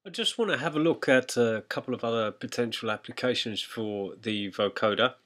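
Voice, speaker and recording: Bright-voiced man giving a software tutorial. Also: mid-range voice, a little fast. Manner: explanatory, conversational. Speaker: music production tutor. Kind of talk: informal software tutorial narration.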